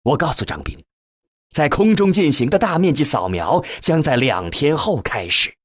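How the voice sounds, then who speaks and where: A man reading a novel as a scripted narration, with measured, expressive storytelling and a husky voice. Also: fairly high pitch, dry recording. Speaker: audiobook narrator.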